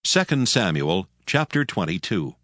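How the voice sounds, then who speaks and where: A man giving a solemn, measured, scripted narration of dramatized scripture, with music playing behind him. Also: deep voice, big pitch swings, a bit bright, no room sound, studio quality.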